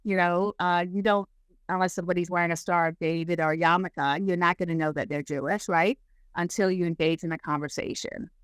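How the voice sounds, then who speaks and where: A woman from Jamaica with a lowish, smooth voice tells her story as a podcast guest, thoughtful and unhurried, with many ums along the way. Her voice is recorded close and dry. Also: steady tone, no echo. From Jamaica, raised in Brooklyn. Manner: reflective, measured, with many fillers. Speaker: guest, HR executive. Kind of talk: conversational interview.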